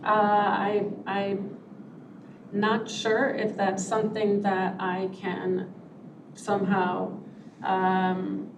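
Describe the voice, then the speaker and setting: A woman applying for a zoning variation, answering in a hesitant, halting way. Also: medium pitch, monotone. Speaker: applicant. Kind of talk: hearing testimony. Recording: echoey room, distant mic, noisy.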